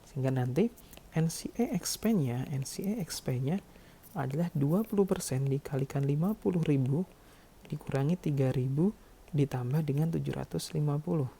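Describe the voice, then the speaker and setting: A man's voice: fairly high pitch, normal speed, vocal fry, mellow, husky. A man explaining worked calculations step by step as a lecturer, often adding small check-in words. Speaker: accounting lecturer.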